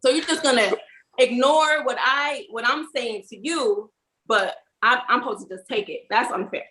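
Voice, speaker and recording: A woman's voice: on the high side, normal speed, pushed and bright. A woman debating casually, making her points in a firm, insistent way. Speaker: podcast panelist. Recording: roomy, echoing sound.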